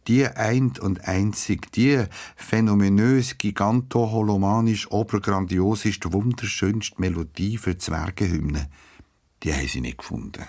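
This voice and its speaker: A man's voice: on the low side, measured pace, vocal fry, darkish tone. A man with a Swiss German accent narrating a children's radio story expressively. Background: guitar music.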